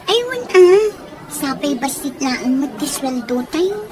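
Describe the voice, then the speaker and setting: A woman's voice: high-pitched, expressive, slow, over music, a crowd in the background. A woman playing a supportive young friend and co-worker with an Ilocano accent in an audio drama. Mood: friendly, matter-of-fact. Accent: Ilocano Filipino.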